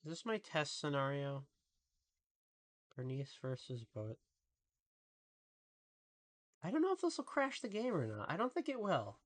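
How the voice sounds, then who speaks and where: Deep-voiced goth woman streaming. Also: very animated, unhurried, long pauses. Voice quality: really deep voice. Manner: rambling, profane, chatting with viewers. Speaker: VTuber streamer. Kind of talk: casual live game stream commentary.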